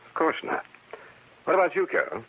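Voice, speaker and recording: An actor playing a brooding, obsessed man pursuing a dark mystery in an old-time radio drama. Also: on the high side, animated, brisk, a little hiss or hum. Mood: resolute. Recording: muffled vintage radio recording.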